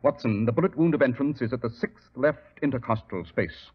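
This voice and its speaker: An actor playing a brisk, imperious English detective with a British accent in a 1940s radio drama, clipped, formal and dryly dismissive. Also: male voice, medium pitch, animated, husky. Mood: dry, commanding. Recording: dull, noisy old radio recording.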